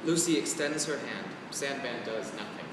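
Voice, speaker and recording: In a boomy hall, far from the microphone, a man of medium pitch reads stage directions. His delivery is scripted narration for a staged reading. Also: normal speed. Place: indoor performance space, far microphone. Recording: echoey hall from far off, loud noise.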